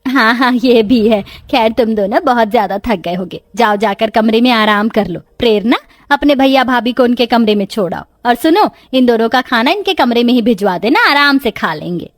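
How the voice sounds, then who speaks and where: A woman's voice: on the high side, moderate pace, close to an everyday voice. Woman acting a fussing, welcoming village mother-in-law. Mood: affectionate. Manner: affectionate, fussing.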